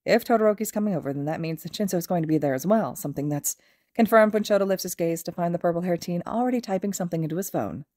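A woman narrates a piece of fiction as a scripted reading. Her voice sits a little low and is soft and mellow. The recording is very dry, with no room around it. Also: fast talker, no echo. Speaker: podfic narrator.

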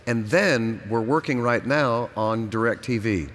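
A male pastor talks on stage as a guest. His voice is mid-pitched, with faint live hall noise behind it. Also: takes it slowly, fairly bright tone. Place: stage in a large convention hall.

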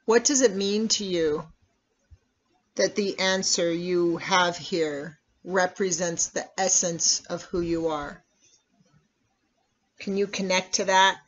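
A meditation guide with a mid-pitched voice leads a practice slowly and deliberately. The phrases are instructional and set apart by long pauses. The recording sounds dull and lo-fi. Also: fairly even intonation.

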